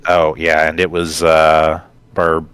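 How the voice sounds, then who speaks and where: A man with a low voice talks casually as a podcast co-host. He explains at length and wanders off into side stories in a conversational way. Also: completely flat delivery, slow pace, fairly bright tone, clean tone, dry recording. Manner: rambling, explanatory, digressive storytelling.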